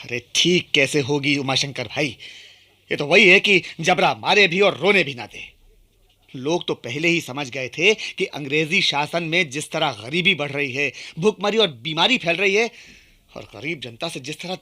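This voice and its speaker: A man's voice: a little high, lively intonation, normal speed, pushed and bright, heavily acted. An actor playing a shrewd, indignant Indian townsman in a radio drama, explaining things in a folksy, emphatic way. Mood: knowing, indignant.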